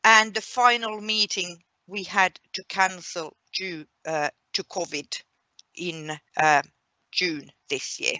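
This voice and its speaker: Woman, webinar presenter, bright clear-cut tone, unhurried pace, explanatory delivery. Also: lowish voice, steady tone, no echo. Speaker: project coordinator hosting a webinar.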